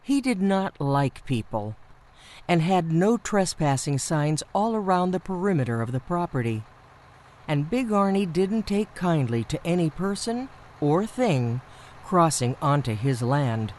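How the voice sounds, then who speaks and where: A woman's voice: low-pitched, sing-song, measured pace, darkish tone, a little hiss or hum. Ominous woman as horror radio storyteller. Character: ominous mystery theatre storyteller. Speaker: narrator of a radio play. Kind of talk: scripted horror story narration.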